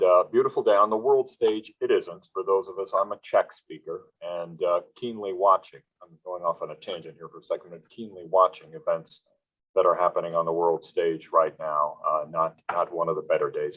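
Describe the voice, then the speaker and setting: A man's voice: fairly low pitch, a bit bright, rough recording. A man from Wisconsin giving a presentation on a video call, rambling with many fillers and restarts. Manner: rambling, with frequent fillers and self-corrections. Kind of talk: webinar presentation.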